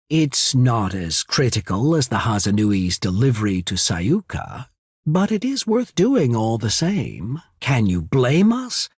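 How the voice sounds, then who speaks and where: An actor playing a shrewd, courteous naval emissary in a fantasy video game, speaking in a measured, formal, persuasive way. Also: male voice, mid-range voice, a little mellow, breathy edge, dry recording, studio quality, exaggerated voice. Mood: diplomatic. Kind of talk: scripted fantasy game dialogue.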